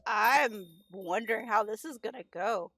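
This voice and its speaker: A woman chatting casually as she plays a game with friends, with an excitable, giggly manner. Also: mid-range voice, unhurried, no room sound, soft background music. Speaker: game player, streamer. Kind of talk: casual playthrough chat with friends, some dialogue read aloud.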